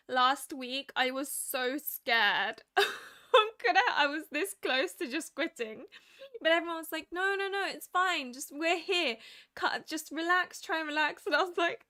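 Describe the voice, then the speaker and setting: Late-twenties female streamer, high bright voice, chatty and animated. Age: 20s. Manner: chatty, laughs often, animated. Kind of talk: casual chat.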